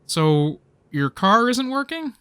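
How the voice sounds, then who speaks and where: Radio comedy: nostalgic geek host, male actor, theatrical and excitable, bright, lively delivery. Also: very high voice, slow, slight background noise, full character voice. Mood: casual. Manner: theatrical, geeky slacker banter. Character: excitable nostalgic nerdy man, melodramatic party host. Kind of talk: scripted radio play comedy dialogue.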